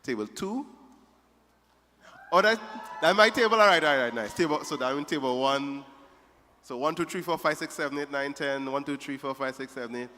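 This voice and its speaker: A man's voice: a little high, normal speed, long pauses, sharp tone, slight background noise. A man emceeing a wedding reception, playfully teasing the guests table by table. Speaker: master of ceremonies. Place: large reception hall. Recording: reverberant hall.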